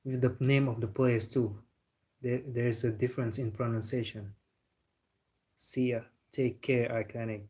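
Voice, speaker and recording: A young man hosting a football live stream and reading out viewer comments. He chats loosely at a mid pitch, calls his viewers bro and laughs easily. He sounds relaxed and unscripted.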